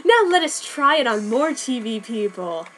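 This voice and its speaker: A woman voicing an excitable teenage boy in a gleeful, eager way. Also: fairly high pitch, moderate pace, a little hiss or hum. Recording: background music.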